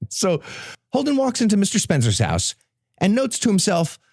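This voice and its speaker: A man's voice: sits high, wildly swooping pitch, moderate pace, darkish tone, no room sound, clean studio sound. A man hosting a comedy podcast, giving a sarcastic, profane recap to his co-hosts. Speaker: podcast host.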